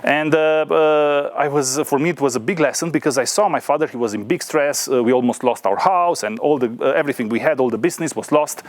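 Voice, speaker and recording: A man in his thirties from Romania, with a Romanian accent, gives an informal talk in a hesitant way with many pauses and fillers. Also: fairly high pitch, dry recording. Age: 30s. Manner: hesitant, filler-heavy delivery with frequent pauses. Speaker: guest speaker, entrepreneur.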